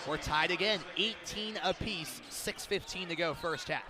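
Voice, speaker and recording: A man doing live radio play-by-play of a basketball game, an excitable announcer who builds to loud calls on big plays. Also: on the high side, a little slow. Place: courtside in a large indoor arena. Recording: crowd noise, bouncing ball in arena. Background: arena ambience, basketball bounces.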